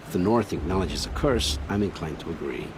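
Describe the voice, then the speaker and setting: A man acting a gruff, world-weary outlander scavenger in a video game, evasive and dismissive in manner. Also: deep voice, expressive, brisk, music in the background. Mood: evasive, dry.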